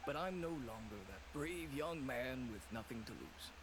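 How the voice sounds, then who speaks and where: Cautious middle-aged shopkeeper dad, man voicing him, lively measured advice, game ambience under it. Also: mid-range voice, background noise, natural voice. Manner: measured, advising. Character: middle-aged male shop owner and father, cautious and pragmatic. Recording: game audio with background ambience.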